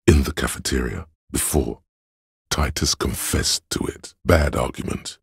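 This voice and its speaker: Very deep, gravelly inner voice of logic. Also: male voice, no room sound, studio quality, heavily acted. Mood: probing. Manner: deliberate, clipped, didactic. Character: cold analytical inner voice of logic, a man's. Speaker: voice actor. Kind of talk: dramatic second-person game narration.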